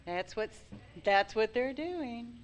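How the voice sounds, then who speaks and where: A woman leading a church service, giving brisk, practical cues to the people around her. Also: on the high side, animated.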